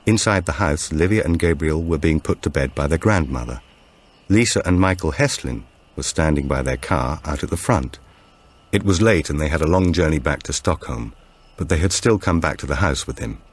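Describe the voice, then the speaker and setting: Male audiobook narrator, very low pitch, lively intonation, scripted thriller narration. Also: moderate pace.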